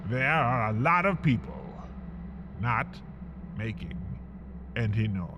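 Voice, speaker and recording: A man hosting and narrating a scripted comic horror piece, theatrical and drawn out, swinging from whispers to shouts. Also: mid-range voice, lively intonation, takes it slowly, lo-fi sound, background noise. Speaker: host and narrator.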